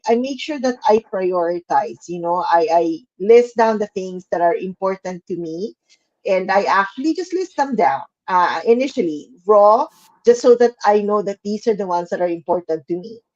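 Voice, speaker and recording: A woman's voice: medium pitch, normal speed, lo-fi sound. A woman from the Philippines co-hosting a live chat, chatty and giggly with many fillers, on a video call. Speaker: co-host.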